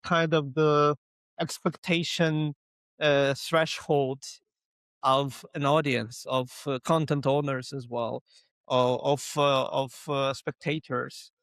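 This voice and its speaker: A man whose first language is Ukrainian, speaking as an interview guest in a halting way, with many fillers and restarts. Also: medium pitch, flat delivery, fairly bright tone, no echo. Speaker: guest, localization company founder. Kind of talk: conversational interview.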